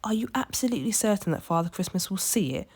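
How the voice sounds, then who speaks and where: A woman voicing an earnest, excitable young boy in a storybook, with an exclamatory manner. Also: medium pitch, quick pace, pitched above the performer's own voice. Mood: anxious.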